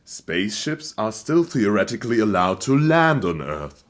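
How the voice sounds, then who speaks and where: A man playing a gruff, impatient, pompous colony chancellor in an audio drama, brusque and commanding. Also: lowish voice, very animated, normal speed.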